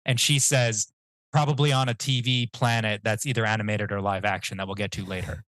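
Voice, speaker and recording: A man's voice: lowish voice, steady tone, brisk, fairly bright tone, no echo, low-quality recording. A man, a guest on a podcast, telling a rambling, jokey story in casual chat with self-mocking asides. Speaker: guest comic writer.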